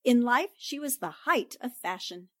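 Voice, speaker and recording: Woman playing inquisitive reporter, radio drama, slightly high voice, dry sound, polite, precise. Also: expressive, a bit bright, dry recording. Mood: confident. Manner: polite, precise, deliberate questioning. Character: poised, sharp-eyed, inquisitive woman newspaper reporter. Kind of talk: 1940s radio drama acting.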